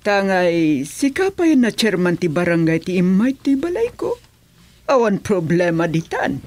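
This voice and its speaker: Flirty fussy village lady, ilocano accent, very singsong pitch, dry close sound, radio comedy. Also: sing-song, unhurried, a little mellow, dry recording. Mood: teasing. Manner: coy, affected, peppered with English phrases. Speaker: actress in a radio comedy serial. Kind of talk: Filipino radio comedy drama acting.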